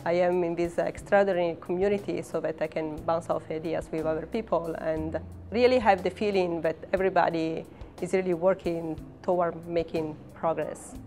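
A woman's voice: lowish voice, fairly even intonation, moderate pace, warm tone, soft background music. A woman in her thirties with an Italian accent, a professor speaking hesitantly in an interview about her work. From Italy. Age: 30s. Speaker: professor and research scientist.

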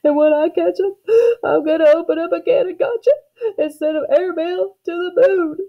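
A woman's voice: on the high side, sing-song, a little fast, husky, narrow, tinny audio, exaggerated voice. A woman voicing a feisty, indignant young country girl in a storybook, exclaiming and accusing in folksy talk. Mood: sobbing.

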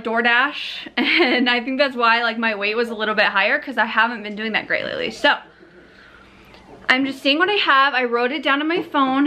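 A woman's voice: a little high, sharp tone. A young woman chatting casually to camera in a vlog, upbeat and full of fillers, filmed at arm's length in her car and home kitchen. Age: young adult. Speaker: vlogger. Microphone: handheld camera at arm's length.

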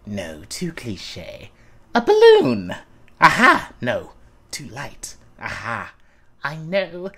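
Slow, wildly swooping cartoon wizard. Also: male voice, mid-range voice, wildly swooping pitch, pushed and bright, heavily acted. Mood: gleeful, mischievous. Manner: theatrical, swooping, cackling. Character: mischievous, scatterbrained cartoon wizard, gleefully theatrical.

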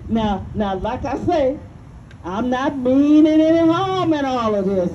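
High, swooping, blunt gossipy woman, acted on stage. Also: animated, measured pace, slight background noise. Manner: emphatic, folksy, repeats phrases for emphasis. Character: outspoken enslaved Southern woman, gossipy and blunt. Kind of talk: live dramatic stage reading. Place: outdoor stage, recorded from the audience. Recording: muffled outdoor audience recording with crowd.

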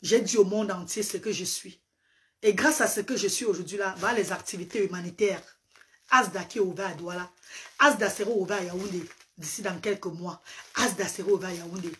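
A woman's voice: medium pitch, fairly quick, fairly bright tone. A woman, a Medumba speaker, giving a fervent, preacherly live-stream address full of emphatic repetitions. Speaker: live-stream host and parent advocate. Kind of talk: impassioned social-media live address. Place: small indoor room, seated on a sofa. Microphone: phone camera at close range.

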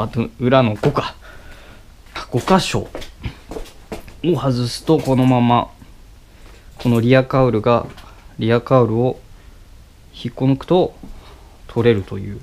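A young man talking casually as he works on his motorcycle in a cluttered garage, filmed with a head-mounted camera. He talks haltingly with many fillers and mutters to himself mid-task. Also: medium pitch, darkish tone, a little hiss or hum. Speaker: motovlogger. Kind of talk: casual how-to commentary while working. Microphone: head-mounted action camera.

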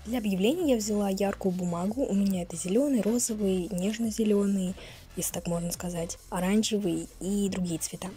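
Hoarse teen girl with a cold. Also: medium pitch, a little fast, warm tone. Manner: casual, chatty. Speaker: vlogger. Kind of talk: voice-over narration.

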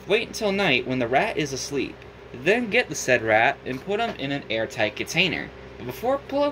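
A man's voice: mid-range voice, fairly bright tone, slight background noise, low-quality recording. A man voicing a chipper cartoon block character giving an upbeat how-to explainer. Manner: upbeat tutorial patter.

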